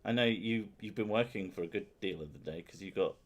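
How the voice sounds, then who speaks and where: A man's voice: fairly low pitch, fairly quick. A man, chatting casually with his partner on a stream, swearing freely and mocking himself. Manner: sweary, self-mocking, loud laughing. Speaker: streamer host. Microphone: headphones and desk mic.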